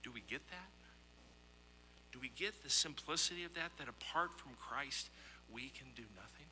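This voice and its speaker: A man's voice: mid-range voice, lively intonation, moderate pace, bright, forceful tone, slight background noise, rough recording. A man preaching a sermon in an earnest, emphatic way, as he reads scripture and tells illustrative stories. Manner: earnest, emphatic, with rhetorical questions and repetition. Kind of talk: sermon with scripture readings and anecdotes.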